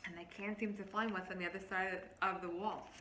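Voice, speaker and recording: A woman teacher reads a picture book aloud to children, expressively, pausing to explain words and ask them questions. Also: medium pitch. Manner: expressive, instructive, addressing children directly. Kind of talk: read-aloud storytelling with explanations and questions to young listeners. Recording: roomy, echoing, faint background noise.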